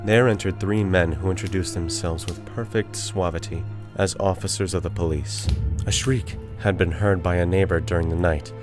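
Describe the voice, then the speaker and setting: A man voicing a guilt-crazed murderer in a theatrical, deliberate dramatic reading of a gothic horror story. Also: low voice, fairly even intonation, music in the background, natural voice. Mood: smug, composed. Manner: theatrical, deliberate phrasing with drawn-out words. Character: guilt-crazed murderer, feverishly confident, unraveling into frantic confession.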